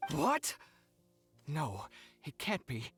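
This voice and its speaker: A man's voice: a little high, wildly swooping pitch, very slow, fairly bright tone, breathy edge, full character voice. A man voicing a flustered, indignant young artist roommate in an anime-style video game, with an exasperated, theatrical manner. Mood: worried, pleading. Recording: game dialogue with music behind.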